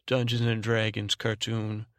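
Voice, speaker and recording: A man hosting a sleep podcast in a soothing drone, meandering and halting as he repeats himself and trails off to lull listeners. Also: fairly low pitch, completely flat delivery, no echo, clean studio sound. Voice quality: soothing, soft-spoken drone. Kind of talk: rambling bedtime monologue meant to lull.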